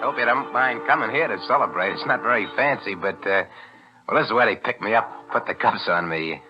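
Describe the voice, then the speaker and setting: An actor playing a cocky, edgy young small-time crook with slangy tough talk, in 1940s radio thriller style. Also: male voice, fairly low pitch, fairly quick, over loud music, close to an everyday voice. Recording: dull vintage radio recording.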